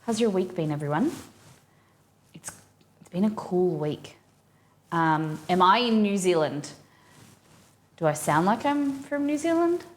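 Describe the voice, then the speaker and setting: A woman's voice: fairly low pitch, very animated, unhurried, long pauses. A woman with an Australian accent, hosting a casual cooking live stream and chatting informally with viewers as she thinks aloud.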